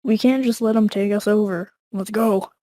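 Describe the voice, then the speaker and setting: Woman playing a resolute, mellow-voiced fantasy fighter. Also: mid-range voice, steady tone, moderate pace, a little hiss or hum, natural voice. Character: resolute, self-sacrificing fighter in a fantasy drama. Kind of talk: amateur script table read.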